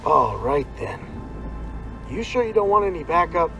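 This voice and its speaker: A man's voice: fairly high pitch, very animated, normal speed, music in the background, rough recording. A man acting as an easygoing companion in a survival horror game, with a casual, wry delivery. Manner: casual, wry, laid-back.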